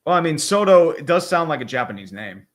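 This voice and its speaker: A man's voice: fairly high pitch, big pitch swings, fast. Sardonic man riffing on sports. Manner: sardonic, reads viewer comments aloud. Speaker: podcast co-host. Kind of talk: casual sports chat.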